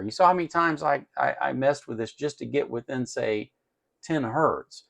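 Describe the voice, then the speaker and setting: A man with noticeable vocal fry talks through a hands-on electronics tutorial. His delivery is casual and unscripted, going step by step and circling back to repeat himself as he works. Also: medium pitch. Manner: explains step by step while working, repeating himself.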